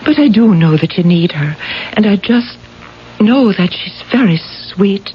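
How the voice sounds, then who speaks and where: A woman acting a tender, wistful woman in love in a 1970s radio drama, speaking softly and intimately. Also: medium pitch, expressive, unhurried, lightly acted. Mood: gentle, pleading. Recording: muffled old radio broadcast, faint noise.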